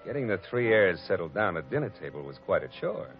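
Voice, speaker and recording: An actor playing a wry, wisecracking hard-boiled private detective who narrates a 1940s radio drama. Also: male voice, low-pitched, big pitch swings, fast. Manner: dry, wisecracking, clipped. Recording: lo-fi old radio broadcast with music.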